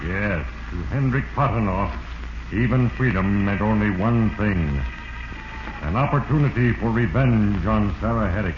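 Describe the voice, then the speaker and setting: A man playing Fate, an ominous narrator of a 1940s radio drama, speaking in a grave, portentous, deliberate way. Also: fairly low pitch, natural voice. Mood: grave, condemning. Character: Fate, ominous male narrator judging doomed mortals. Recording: noisy, dull, echoey 1940s radio recording.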